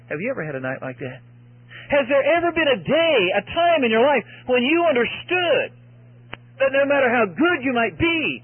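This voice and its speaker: Male preacher, very high raspy voice, earnest exhorting delivery with repeated phrases, evangelistic sermon. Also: no room sound, narrow, tinny audio. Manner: earnest and exhorting, with repetition for emphasis.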